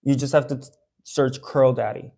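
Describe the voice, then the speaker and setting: Young adult man, mid-pitched, hosting a live stream; loose, chatty delivery with frequent fillers and restarts. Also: fairly even intonation, moderate pace, creaky, warm tone. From Dominican Republic. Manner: chatty, reads out viewer comments, many fillers and restarts. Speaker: haircare brand owner answering live viewers. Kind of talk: casual live-stream chat.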